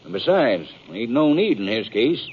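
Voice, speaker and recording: A man acts as a skeptical, plainspoken sheriff in a radio Western. His American voice is blunt and authoritative at a middling pitch. The 1950s recording is thin and phone-like. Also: very animated, moderate pace, slight background noise, close to an everyday voice. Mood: firm. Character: firm, skeptical, plainspoken Old West sheriff.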